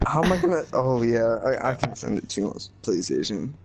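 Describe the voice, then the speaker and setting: A man's voice: medium pitch, big pitch swings, brisk, music in the background. A young man in casual, crude, slangy chat with friends while gaming, joking around as he streams. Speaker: online gamer, streamer.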